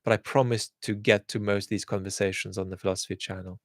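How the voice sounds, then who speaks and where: A man answering viewer questions in a casual chat, speaking in a thoughtful, measured way with frequent restarts. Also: fairly low pitch, steady tone, no echo. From England.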